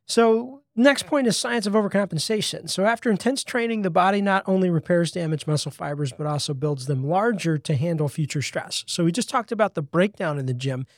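A man's voice: sits high, brisk, hardly any pauses, dry recording, studio quality. A man in his thirties co-hosting a casual fitness podcast, explaining points from his list one by one. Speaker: podcast co-host.

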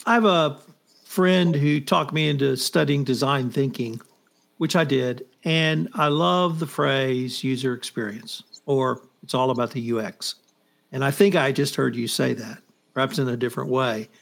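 A man's voice: mid-range voice, normal speed, vocal fry. An American man hosting a podcast and putting questions to a guest in a conversational way. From United States. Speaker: podcast host. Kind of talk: conversational interviewing.

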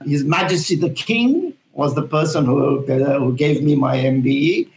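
Older guy from zambia, earnest and halting, lots of uhs, echoey room. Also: a little high. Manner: earnest, halting with frequent fillers. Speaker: guest, cemetery founder. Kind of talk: conversational interview answers.

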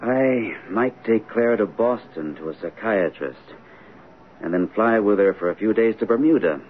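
A male actor plays a gentle, concerned father in a radio drama. His voice sits on the low side and moves expressively, deliberate and earnest. It comes through tinny and slightly noisy, like an old broadcast. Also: expressive, natural voice. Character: concerned, patient father, imaginative and open-minded.

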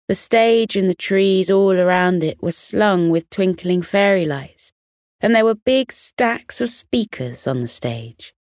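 A woman narrating a children's bedtime story in a gentle, expressive storytelling manner. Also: mid-range voice, takes it slowly, no echo, clean studio sound.

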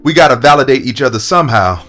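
A man acts out a proud senator in love, in an audio drama. He has a mid-pitched male voice and talks casually and easily, with faint music underneath. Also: animated, quick pace, fairly bright tone, natural voice. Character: proud, lovestruck male senator, smooth-talking with his lover.

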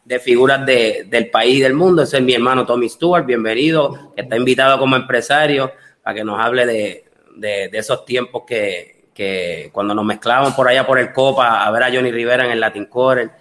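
Laid-back salsa host. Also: male voice, mid-range voice, a little fast. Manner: relaxed, laughs often, many fillers. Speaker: podcast host. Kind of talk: casual chat.

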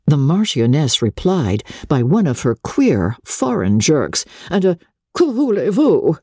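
A woman narrating classic literature as a dramatic audiobook, measured, expressive and theatrical. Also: extremely low pitch, breathy edge, no echo, clean studio sound.

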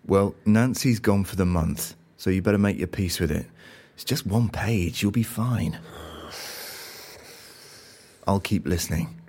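An actor playing an easygoing, practical British office colleague in a naturalistic audio drama, casual and gently teasing. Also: male voice, low-pitched, measured pace, pauses often, husky, heavily acted. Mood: teasing, reassuring. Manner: casual, unfussy, reassuring.

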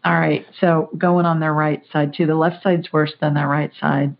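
A woman hosting a call-in show, talking in a brisk, chatty, folksy way. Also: low-pitched, little pitch movement, creaky. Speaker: psychic show host. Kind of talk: call-in radio chat. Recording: muffled lo-fi audio.

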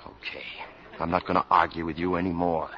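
An actor playing a stubborn, exasperated doctor, impatient and argumentative, in 1950s radio drama style. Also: male voice, low voice, little pitch movement, a little fast, a bit bright. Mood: fed up. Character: exasperated, stubborn government doctor arguing for action. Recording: noisy, muffled old radio broadcast.

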